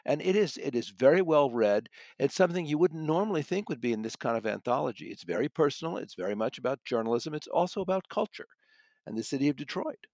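A man's voice: a little high, lively intonation, fast talker, darkish tone, no echo. Thoughtful man reviewing books, close dry sound. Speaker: audiobook reviewer and guest critic. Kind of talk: conversational book discussion.

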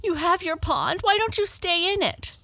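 Woman playing a high-pitched, petulant little storybook girl. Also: animated, normal speed, pushed and bright, dry recording, exaggerated voice. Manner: whiny, petulant. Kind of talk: children's storybook character voice.

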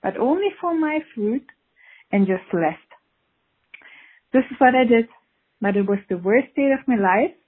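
A woman's voice: a little high, expressive, unhurried, long pauses, husky. A woman from Switzerland with a Swiss accent, calling in to tell a dating story candidly and laughing through her embarrassment. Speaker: caller sharing a dating story. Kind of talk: casual recorded confession. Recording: tinny phone-line recording.

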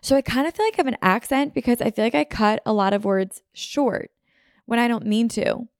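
A woman's voice: fairly high pitch, a little fast, no echo, clean studio sound. A young American woman from the suburbs of Philadelphia hosting a podcast, rambling casually with lots of "like", a little sniffly from a runny nose. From Philadelphia suburbs, USA. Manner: rambling, heavy use of "like", self-interrupting. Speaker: podcast host. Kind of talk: casual chatty podcast monologue. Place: apartment room. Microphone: podcast mic on video.

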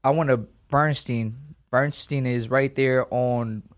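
Young streetwise boxer from Los Angeles. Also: male voice, medium pitch, steady tone, takes it slowly. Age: 20s. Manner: streetwise slang, emphatic. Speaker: boxer guest. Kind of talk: casual interview.

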